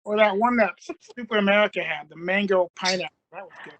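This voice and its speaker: Man chatting casually, mid-to-high voice. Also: a little fast, fairly bright tone, lo-fi sound, slight background noise. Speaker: radio play cast member. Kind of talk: casual, rambling panel chat.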